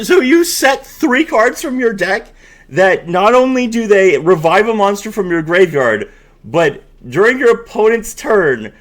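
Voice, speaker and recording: A man hosting a card game video, mixing casual commentary with theatrical, emphatic reading aloud. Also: high voice.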